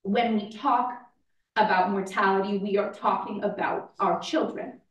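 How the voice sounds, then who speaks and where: An actress plays a bereaved mother, a woman speaking in a reflective, halting way, as in a eulogy. She takes her time and pauses often. The sound is a stage reading picked up from a distance in an echoey room. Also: medium pitch, fairly even intonation, close to an everyday voice. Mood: tender, reflective. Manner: slow, deliberate, with long pauses. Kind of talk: staged play reading, dramatic monologue. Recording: roomy, distant mic in echoey space.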